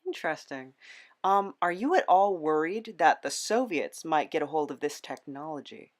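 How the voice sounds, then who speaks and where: Woman doing a casual comedy interview, awkward and halting, pitch moves around a lot. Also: sing-song. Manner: hesitant, awkward. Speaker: podcast host and interviewer.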